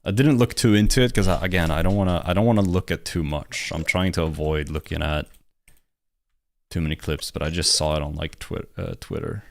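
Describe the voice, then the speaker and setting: A man's voice: low voice, fairly quick, creaky, slight background noise. A man chatting casually with a friend, hesitant and full of restarts. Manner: hesitant, lots of fillers and restarts. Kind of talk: casual chat.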